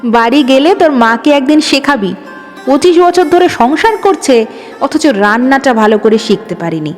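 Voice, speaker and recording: A woman voicing a kindly, cheerful older father in an audio story, chatting gently. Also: high-pitched, lively intonation, normal speed. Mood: cheerful, gentle. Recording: long reverb, music underneath.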